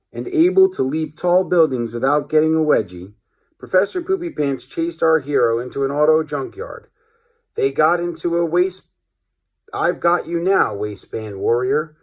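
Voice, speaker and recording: A man's voice: a little high, a little mellow. A man narrating a children's story expressively, like a teacher reading aloud to pupils.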